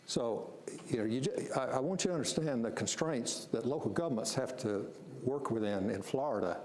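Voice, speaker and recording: A man's voice: mid-range voice, normal speed, darkish tone. A man running a public planning meeting, explaining things firmly and repeating words as he goes. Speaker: strategic planning facilitator. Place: city meeting room. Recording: echoey meeting room, faint noise.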